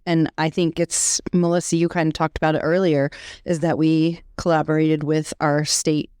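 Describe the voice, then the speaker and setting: Smooth, low-voiced earnest woman. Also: little pitch movement, normal speed, darkish tone, dry recording, clean studio sound. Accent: American. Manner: earnest, upbeat, filler-heavy. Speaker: podcast co-host. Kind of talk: casual podcast chat.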